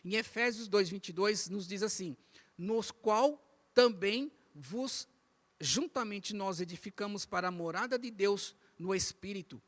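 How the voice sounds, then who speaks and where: A man's voice: sits high, a little slow, fairly bright tone. A man from the São teaches a church Bible lesson, didactic, repeating phrases and asking rhetorical questions. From Brazil. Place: inside a church, speaking to a congregation.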